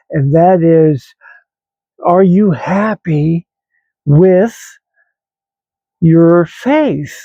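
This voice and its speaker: A man in his seventies with an American accent, talking reflectively and haltingly to online viewers in a rambling personal vlog. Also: fairly high pitch, vocal fry, dark tone, no room sound, dull audio. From grew up in West Virginia, United States. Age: 70s. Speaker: vlog host.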